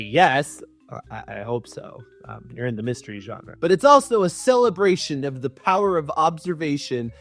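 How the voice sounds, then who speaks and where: Guy with a slightly high voice doing sarcastic dramatic reading, music playing behind him. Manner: theatrical, sarcastic, mock-solemn reading. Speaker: host, writing coach. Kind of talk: comedic dramatic book reading with dry asides.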